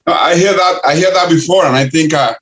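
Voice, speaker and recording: An older man from the Republic, answering interview questions in halting English full of restarts. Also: fairly high pitch, a bit bright. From Dominican Republic. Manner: halting, many restarts and uh fillers. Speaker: guest, city councilor and candidate.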